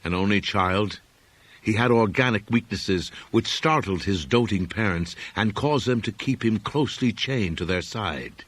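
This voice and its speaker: Low-pitched man reading a horror tale. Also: a bit bright. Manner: measured, solemn, deliberate storytelling. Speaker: audiobook reader. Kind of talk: scripted narration of a horror story.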